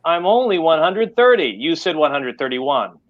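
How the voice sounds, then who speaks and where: A man's voice: on the high side, sing-song, moderate pace. A very old, irritable tycoon played by a man reading aloud in a science fiction story. He is stubborn and grumbles petulantly. The recording is slightly dull. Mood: needling.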